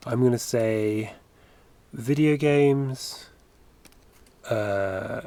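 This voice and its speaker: A man's voice: mid-range voice, drawn-out words, warm tone. A man in his thirties chatting in a rambling, self-correcting way while drawing on a livestream, recorded on a headset at home. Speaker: animation streamer. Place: home studio, indoors.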